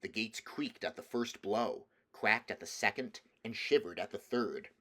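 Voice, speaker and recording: A man's voice: on the low side, unhurried, fairly bright tone. A man reading a children's storybook aloud as scripted narration. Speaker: audiobook reader.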